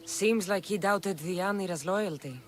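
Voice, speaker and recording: A woman's voice: fairly low pitch, normal speed, a little hiss or hum, natural voice. An actress playing a tough, blunt but caring female mercenary in a video game. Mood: businesslike. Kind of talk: video game dramatic acting. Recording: game music underneath. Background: background music.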